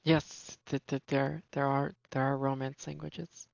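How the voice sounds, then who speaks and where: A man's voice: mid-range voice, little pitch movement, unhurried, vocal fry, no room sound, muffled recording. A young man from Brazil, raised speaking Portuguese, chatting casually as a podcast guest in a rambling, self-correcting way. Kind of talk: casual chat.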